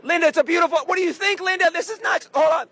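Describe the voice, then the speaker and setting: A man's voice: extremely high pitch, racing, pushed and bright, some chatter behind. A male host on a live show floor, enthusiastic and full of exclamations as he asks questions. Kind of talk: live event hosting.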